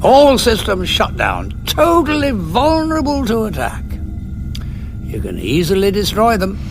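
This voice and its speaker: Animated, impatient sci-fi hero. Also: male voice, high-pitched, vocal fry, background noise, heavily acted. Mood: wry confidence. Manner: brisk, wry, impatient. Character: clever, brisk, irascible heroic alien time traveller. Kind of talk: audio drama acting.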